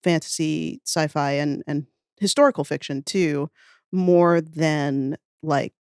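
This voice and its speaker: A woman's voice: low voice, takes it slowly, darkish tone, clean tone, dry recording, clean studio sound. A woman chatting casually as a podcast guest, reminiscing in an enthusiastic, rambling, self-deprecating way. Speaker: podcast guest, audio fiction writer.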